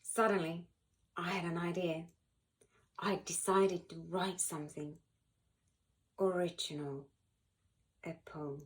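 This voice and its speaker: A woman reading a children's picture book aloud in an animated, expressive way, with dramatic pauses and emphasis. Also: lowish voice. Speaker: volunteer children's book reader.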